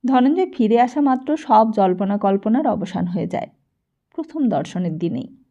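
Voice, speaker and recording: A woman's voice: mid-range voice, expressive, moderate pace, dark tone, lo-fi sound. A woman reading a literary short story aloud as scripted audiobook narration.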